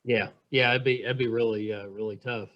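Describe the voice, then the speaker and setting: A middle-aged man chatting casually as an interviewer, agreeable and somewhat halting in how he phrases things. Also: medium pitch, steady tone, muffled recording, noisy background. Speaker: podcast host, interviewer.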